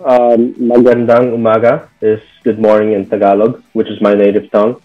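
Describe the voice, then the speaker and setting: A man's voice: fairly low pitch, moderate pace, dull audio, faint music behind. A young man from Bahrain being interviewed, talking casually with lots of "like" and "you know". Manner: relaxed, full of "like" and "you know" fillers. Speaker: interview guest.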